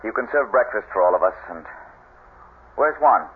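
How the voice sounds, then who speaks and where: Casting: bitter, brooding husband, deep voice, halting dramatic delivery, narrow noisy old-radio sound. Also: male voice, animated, slightly rough. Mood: controlled, resolute. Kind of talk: 1970s radio drama acting. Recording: muffled, noisy vintage radio recording.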